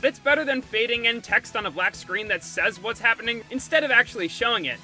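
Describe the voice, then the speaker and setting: A man with a high, very bright and forceful voice reads a scripted review. He drops in snarky asides as he goes. Music plays behind his narration. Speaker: video essayist and reviewer. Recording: background music under the voice.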